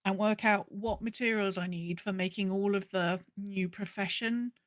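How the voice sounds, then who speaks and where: A woman co-hosting a podcast, chatting casually about gaming in an animated, rambling way and acting out her own thoughts. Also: mid-range voice, moderate pace, dry recording. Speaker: podcast co-host.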